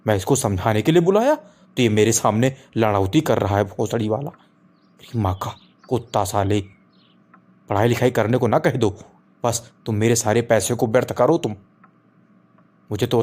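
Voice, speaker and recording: A man's voice: mid-range voice, normal speed, a little mellow, close to an everyday voice. A man voicing an exasperated, preachy middle-aged father in a comic cartoon. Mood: furious, abusive. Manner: preachy, foul-mouthed. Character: exasperated middle-aged Indian father scolding his wayward son.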